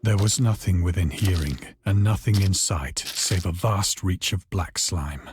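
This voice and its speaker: Deep-voiced, haunted horror narrator. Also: male voice, a little mellow, a little hiss or hum. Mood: grim dread. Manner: grave, formal, measured storytelling. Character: stranded man recounting a cosmic horror ordeal, haunted and grave. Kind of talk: scripted dramatic first-person horror narration. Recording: voice over prominent background music.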